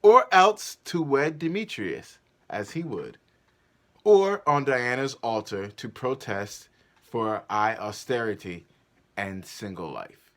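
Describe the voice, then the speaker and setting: A man's voice: mid-range voice, lively intonation, slow pace, a bit bright. A man playing a grand, commanding duke in a stately, declamatory Shakespearean stage reading. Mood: grave. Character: grand, commanding male duke, measured and solemn.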